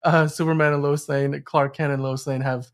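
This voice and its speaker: A man hosting a podcast, discussing movie news casually in a halting, rambling way with self-corrections. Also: a little high, flat delivery. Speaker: podcast host.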